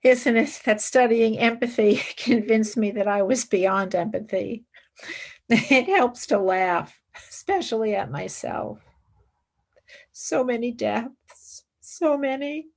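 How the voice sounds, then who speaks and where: Woman acting slow, high-pitched earnest young nurse. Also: vocal fry, full character voice. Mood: sad, wry. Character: grieving, earnest, principled young woman philosopher serving as wartime nurse. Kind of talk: dramatic radio play acting.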